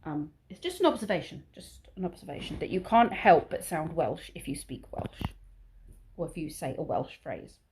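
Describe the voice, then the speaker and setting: A woman's voice: deep voice, expressive, normal speed, darkish tone, a little hiss or hum. A woman talking casually to camera in a vlog, chatty and prone to rambling. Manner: rambling, chatty, self-aware waffling. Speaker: vlogger.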